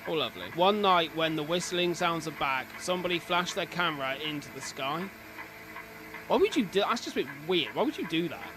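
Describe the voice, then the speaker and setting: British man, higher-pitched voice, noisy stream. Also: animated, fairly quick. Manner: informal, chatty, interjecting asides. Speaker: streamer reacting to horror videos. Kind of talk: live reaction commentary mixed with reading captions aloud.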